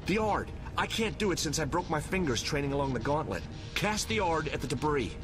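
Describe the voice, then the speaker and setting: An actor playing an earnest, eager young apprentice warrior in a fantasy video game, with a boyish manner. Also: male voice, fairly high pitch, very animated, brisk, over music. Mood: urgent.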